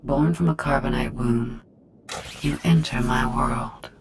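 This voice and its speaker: A theatrical, menacing overlord acted by a man in a video game, with a mid-pitched voice. He speaks grandly and deliberately over faint noise. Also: natural voice. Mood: ominous welcome.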